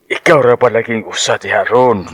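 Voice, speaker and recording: A man's voice: fairly low pitch, normal speed, a bit bright, slightly rough. An actor playing a pushy, amorous man of sixty-three in a radio drama, with a Central Visayan Filipino accent. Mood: eager, probing. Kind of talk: Cebuano radio drama acting.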